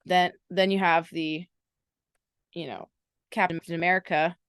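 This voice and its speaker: A woman's voice: lowish voice, fairly even intonation, unhurried, fairly bright tone, smooth, dry recording, studio quality. A young woman co-hosting a sports podcast, chatty and enthusiastic with playful teasing. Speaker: podcast co-host. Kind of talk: casual sports podcast chat.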